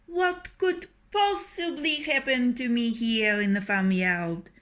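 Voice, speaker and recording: A woman voicing a proud, bossy male rooster in a storybook reading, loud and scornful. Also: fairly high pitch, takes it slowly, pitched above the performer's own voice. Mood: pompous.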